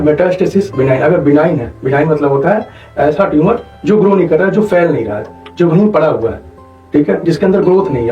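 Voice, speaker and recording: A man's voice: on the high side, dark tone. A man explains medical matters didactically as an interview guest, repeating points and checking that he is understood. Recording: dull, echoey, distant mic, faint music behind.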